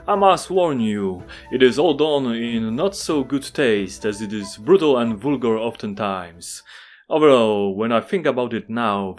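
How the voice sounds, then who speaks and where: A man with a Polish accent, a native Polish speaker, reading a scripted game review in an earnest, measured way with wry asides. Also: on the low side.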